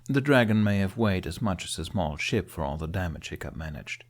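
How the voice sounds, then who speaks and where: A man narrates a fantasy audiobook in a fairly low voice. His delivery is scripted storytelling, read as prose. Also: lively intonation, a little fast.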